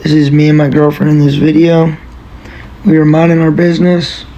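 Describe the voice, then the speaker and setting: Man, flat monotone delivery, mellow tone, dull lo-fi recording. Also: a little high, moderate pace, a little hiss or hum. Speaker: person filmed, responding. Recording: dull lo-fi audio.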